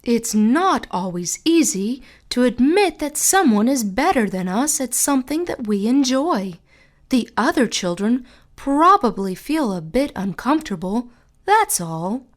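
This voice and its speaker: A gentle mother comforts her child in a soothing, reassuring voice pitched well above a normal speaking voice. A man reading aloud plays her, unhurried and kind. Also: big pitch swings, heavily acted.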